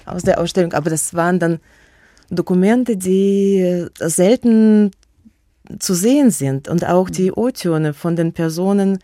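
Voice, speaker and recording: Woman w russian accent, dark mellow voice, lots of ums and restarts, dead dry sound. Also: on the low side, a little slow, no room sound. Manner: hesitant, many fillers and restarts. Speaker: museum curator and guest. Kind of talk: radio interview answers.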